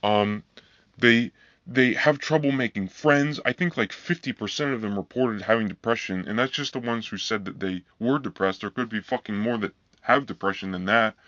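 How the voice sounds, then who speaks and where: A man with a flat, monotone voice delivers a heated, profanity-laced rant, circling back to the same complaints. The recording sounds dull and lo-fi, with a dry, close feel and no room around it. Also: on the low side, moderate pace, no room sound. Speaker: vlogger. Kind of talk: angry ranting monologue.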